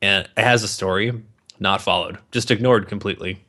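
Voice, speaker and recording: Man, lowish pitch, podcast co-host, casual banter, joking, animated, sweary. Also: a little fast.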